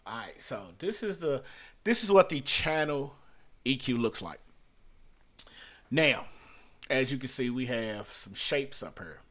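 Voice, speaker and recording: A man's voice: medium pitch, animated, unhurried, pauses often, fairly bright tone. Middle-aged man giving an informal tutorial. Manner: conversational, repeats and rephrases points for emphasis. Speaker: music producer teaching a software tutorial. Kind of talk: informal screen-share tutorial, unscripted. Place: small room at home.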